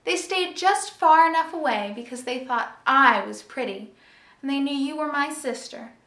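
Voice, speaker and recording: A woman's voice: sits high, animated, normal speed, fairly bright tone, far from the mic. A young woman playing a wounded, jealous teenage sister in an intense, confiding stage monologue. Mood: bitter, taunting. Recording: echoey room.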